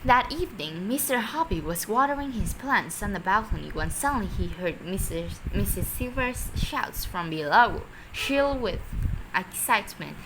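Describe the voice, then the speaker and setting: A woman's voice: mid-range voice, animated, normal speed, noisy background. A woman reading a children's story aloud expressively, sometimes stumbling over her words. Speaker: storybook reader.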